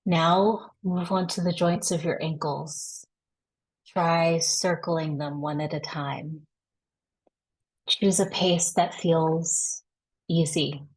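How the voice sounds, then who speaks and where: A woman leading a guided body scan meditation, giving gentle, reassuring, unhurried instructions. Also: sits low, breathy edge, poor audio. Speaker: guided practice facilitator.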